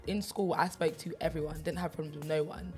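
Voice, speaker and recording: A young woman chatting frankly on a podcast and breaking into loud laughter. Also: low-pitched, fairly even intonation, fast, soft background music. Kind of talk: casual chat.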